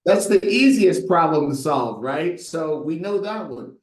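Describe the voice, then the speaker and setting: A man's voice: a little high, expressive, a little slow, roomy sound. A middle-aged man in a casual interview, telling stories with lots of rhetorical "right?" asides and a playful tone. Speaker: guest, brand designer.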